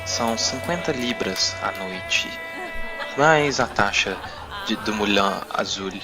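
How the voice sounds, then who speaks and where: A man's voice: lowish voice, animated, takes it slowly, over music. A man voicing a flirtatious female brothel worker in a tabletop game, sweet-talking and hesitant. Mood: coy, seductive.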